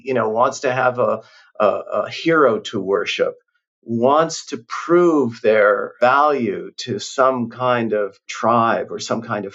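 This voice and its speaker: A man's voice: mid-range voice, a bit bright. A man, a professor, giving reflective, emphatic interview answers with frequent restarts. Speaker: professor, guest. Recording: dull lo-fi sound.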